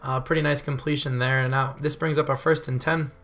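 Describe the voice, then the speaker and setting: Hoarse young man, fast bright commentary. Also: mid-range voice, level tone. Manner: rambling, self-correcting, chuckles often. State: hoarse, voice still recovering. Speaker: gaming channel host. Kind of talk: casual video game commentary.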